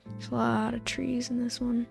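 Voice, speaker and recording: A woman with a soft voice, rambling over gameplay in a sleep-aid video, meandering and sometimes losing her thread. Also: fairly high pitch, little pitch movement, moderate pace, gravelly, soft background music. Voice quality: soft voice, drifting into whispers. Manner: soft, meandering, loses her train of thought. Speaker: gaming video host.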